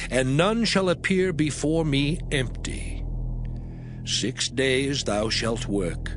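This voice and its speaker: A man playing a stern, commanding lawgiver in a dramatized scripture reading, speaking in a solemn, emphatic, declamatory way. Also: mid-range voice.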